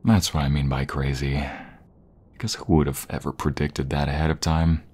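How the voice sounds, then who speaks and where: A man's voice: rumbling low, flat delivery, a little mellow. A man acting an adorkable, gushing nerdy jock boyfriend in an intimate audio roleplay, affectionate and teasing. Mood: tender, adoring. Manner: affectionate, teasing, breathy pauses and chuckles.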